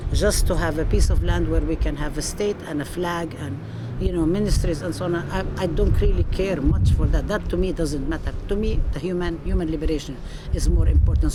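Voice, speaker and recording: A woman from Palestine, a professor being interviewed, speaking earnestly and emphatically in conversation, with frequent restarts. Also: sits low. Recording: outdoor recording with street noise.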